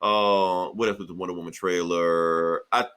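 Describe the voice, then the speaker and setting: A man hosting a casual pop-culture podcast, chatting in a profane, joking, animated way. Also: deep voice, moderate pace, smooth. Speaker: podcast host.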